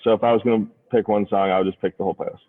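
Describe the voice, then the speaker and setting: A man's voice: lowish voice, steady tone, very fast. A man in casual, slangy, rambling podcast chat as a guest. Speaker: podcast guest, former golf caddie. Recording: phone-like, thin band-limited sound.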